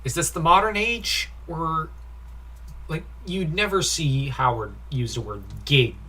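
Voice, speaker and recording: A man reviews a comic in a bright, forward voice at a slow pace. His delivery is informal and critical, picking at small details with an exasperated, sighing manner. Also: on the high side, animated, slightly rough. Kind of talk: informal comic review commentary with read-out dialogue.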